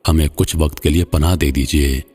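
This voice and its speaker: A man's voice: a bass voice, fairly even intonation, moderate pace, darkish tone, slightly rough. A man voicing a young shipwrecked hunter who politely and earnestly pleads for shelter in a narrated tale. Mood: pleading. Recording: long hall-like reverb.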